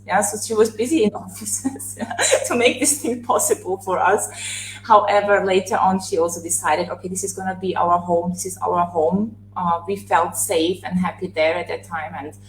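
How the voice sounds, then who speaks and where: A woman's voice: medium pitch, a little hiss or hum. Woman guest telling her story, echoey room. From born in Serbia, raised in Austria. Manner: warm, reflective, many fillers and restarts. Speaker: interview guest. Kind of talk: casual conversational storytelling.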